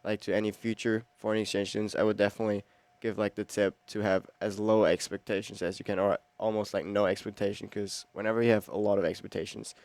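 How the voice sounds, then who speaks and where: A man's voice: lowish voice, level tone, quick pace, darkish tone, dry recording. A young man, talking casually in an interview. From Germany. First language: German. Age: teens. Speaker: exchange student being interviewed.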